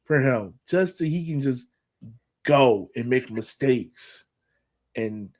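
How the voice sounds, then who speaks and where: A man's voice: mid-range voice, animated, takes it slowly, a little mellow. A man hosting a casual soccer podcast, chatting in a slangy, informal way. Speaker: podcast host.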